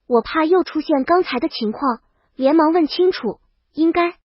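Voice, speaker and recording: A woman with a notably high voice narrates a scripted story in the first person. The recording is very dry and somewhat dull, like a lo-fi voice track. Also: animated, normal speed, no room sound. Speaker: audiobook narrator.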